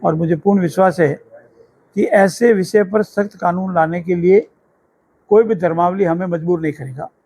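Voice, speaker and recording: A man's voice: high voice, moderate pace, mellow, breathy edge, rough recording. A male politician making a public statement to the press, emphatic and repeating his phrases in lists. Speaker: politician, government minister.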